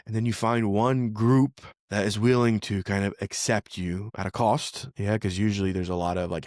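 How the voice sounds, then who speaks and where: A man hosting a podcast, explaining ideas in a relaxed, conversational way and often checking in with "right?". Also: lowish voice, no room sound, studio quality. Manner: conversational, frequent "like" and "right?" tag questions. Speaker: podcast host. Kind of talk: casual explanatory talk. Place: living in Philadelphia.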